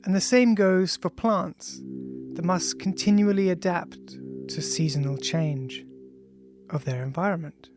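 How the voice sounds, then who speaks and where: An author of unclear gender reads their own scientific audiobook in a measured way, speaking punctuation aloud. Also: mid-range voice. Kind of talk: audiobook reading of a scientific text. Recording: music behind the voice. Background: ambient music with bell-like tones.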